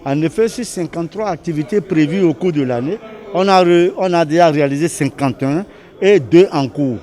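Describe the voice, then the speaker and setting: Male official for a news interview, Beninese accent, raised register, warm mellow timbre. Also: a little high, brisk. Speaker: association official.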